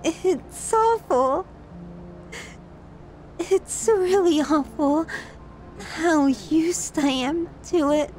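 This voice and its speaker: A woman's voice: high voice, drawn-out words, long pauses, a little mellow, faint music behind. A woman acting a possessive, vulnerable and menacing young yandere who dotes intimately on a silent lover in an ASMR roleplay audio drama. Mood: tender, confessional.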